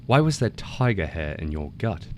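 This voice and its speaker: A hungry old tiger from a folktale, as a male narrator plays him. His voice is very deep and grumbling, earnest and easily fooled, with some noise in the recording. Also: sing-song, normal speed. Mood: alarmed. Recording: noticeable background noise.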